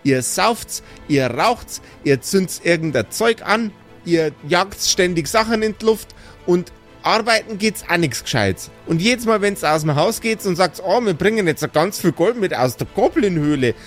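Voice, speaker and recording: A man's voice: high voice, expressive, measured pace, soft background music. A man voicing a scolding, exasperated mother of grown sons with a Bavarian-Austrian accent, nagging and sarcastic, in an improvised fantasy audio play. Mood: scolding, fed up. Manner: nagging, sarcastic, rhetorical questions.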